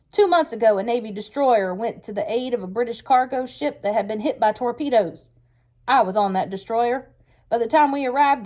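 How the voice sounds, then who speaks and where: A woman's voice: a little high, voice pushed up. A woman reading a storybook aloud, voicing a fierce, hard-driving male wartime Navy commanding officer with stern, emphatic delivery. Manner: stern, forceful, emphatic speech-making. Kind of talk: read-aloud storybook character voice.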